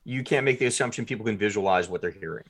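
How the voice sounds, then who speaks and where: A man's voice: lowish voice, racing, a bit bright, husky. A man speaking as a podcast guest in a casual, jovial interview, often thinking aloud as he talks about his creative work. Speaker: podcast guest, audio drama writer and producer.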